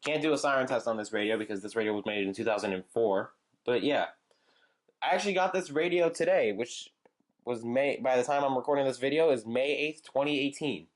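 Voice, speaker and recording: A young man rambling casually as he shows off his gadgets, with frequent fillers, halting restarts and side remarks. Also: mid-range voice. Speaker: channel host showing weather radios. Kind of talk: casual show-and-tell chat.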